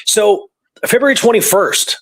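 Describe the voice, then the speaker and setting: Man, fairly high-pitched, casual conversational delivery, history podcast host, informal explainer. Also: expressive, measured pace.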